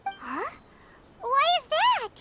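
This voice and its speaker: A woman voicing a small, excitable, easily flustered childlike fairy companion in a video game, with a squeaky, exclamatory delivery. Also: drawn-out words, very noisy, exaggerated voice. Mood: puzzled. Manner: speaks of self in third person, exclamatory.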